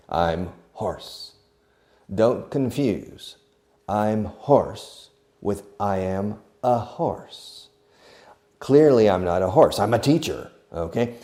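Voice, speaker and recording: A man teaching a beginner English lesson, explaining slowly and acting out each phrase, with short glosses in another language. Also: fairly low pitch, expressive, warm tone. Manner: slow, deliberate, demonstrative. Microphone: video recording.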